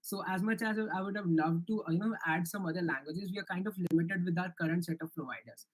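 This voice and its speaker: A young man with an Indian accent presents his software project in a live online demo, answering questions earnestly with frequent restarts and fillers. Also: sits high, fast talker, rough recording. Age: young adult.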